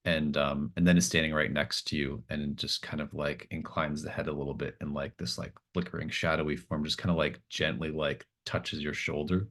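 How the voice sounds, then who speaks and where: A man's voice: a bass voice, little pitch movement, normal speed, few pauses, a bit bright. A man acting as game master, improvising tabletop narration in a hesitant, thinking-aloud manner.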